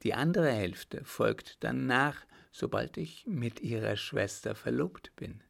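Male reader as a scheming baron: slow, cool, low and gravelly. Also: lively intonation, full character voice. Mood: smug. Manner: cool, drawn-out and deliberate.

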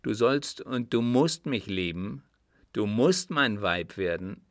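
A storybook lover as a male narrator plays him, ardent and possessive. His low voice presses and pleads with hot-tempered urgency, in a very dry recording. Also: steady tone, slow, fairly bright tone, no echo. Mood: insistent, possessive. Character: passionate, possessive Frenchman wooing a woman, insistent and hot-tempered. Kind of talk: audiobook character dialogue.

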